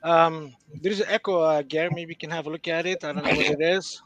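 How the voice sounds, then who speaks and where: A middle-aged man from Belgium speaks over a video call, his voice sitting a little high for a man. He talks in an easygoing, halting way, full of "uh" and "um", as a guest in a casual interview. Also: rough recording. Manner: relaxed, hesitant with many fillers.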